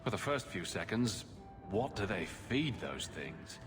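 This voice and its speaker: An actor playing a young, dry-witted fantasy adventurer hero in a video game, wry and flippant. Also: male voice, fairly low pitch, very animated, unhurried, over music. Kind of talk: video game character acting.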